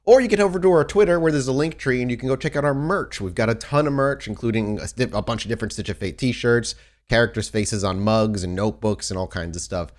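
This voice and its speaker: A man's voice: mid-range voice, quick pace. A man hosting and narrating a tabletop role-playing podcast as its storyteller. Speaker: host and game storyteller. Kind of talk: casual show chat and game narration.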